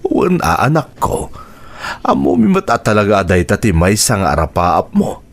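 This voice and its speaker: Deep-voiced supportive radio-drama father. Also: wildly swooping pitch, normal speed. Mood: supportive, earnest. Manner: earnest, encouraging, fatherly. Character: supportive father who once dreamed of music himself. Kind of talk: Ilocano radio drama acting.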